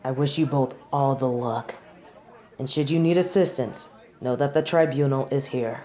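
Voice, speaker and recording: Low-voiced haughty young female judge, acted by a woman. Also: normal speed, dark tone, some chatter behind. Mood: solemn, formal. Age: young adult. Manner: imperious, formal, theatrical declamation. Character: haughty young female tribunal judge, stern, sardonic, witchy. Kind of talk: dramatic audio roleplay acting.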